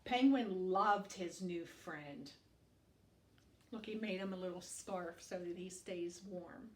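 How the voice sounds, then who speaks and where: A woman storytime teacher reading aloud to young children in an expressive way, adding asides and questions for the listener. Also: on the low side, slow. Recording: echoey room, distant microphone.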